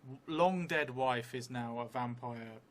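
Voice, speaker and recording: A middle-aged man improvising a story for a solo tabletop game, haltingly thinking aloud and dictating as he writes. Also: medium pitch, fairly bright tone. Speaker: solo role-playing streamer. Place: indoor theatre room, seated at a table. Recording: clearly noisy room recording.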